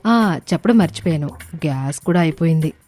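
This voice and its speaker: A woman voicing a shrewd aunt who feigns sweet regret, expressive, in an animated moral story. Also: low voice, brisk. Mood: sly politeness.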